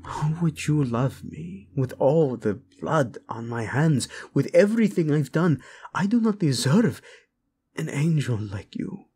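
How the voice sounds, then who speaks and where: A male voice actor playing a brooding young warlord prince who speaks softly and often drops to a whisper to his bride. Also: on the high side, animated, unhurried, a little hiss or hum. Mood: anguished. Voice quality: husky, soft-spoken, often drops to a whisper. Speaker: audio roleplay voice actor. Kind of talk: audio roleplay acting.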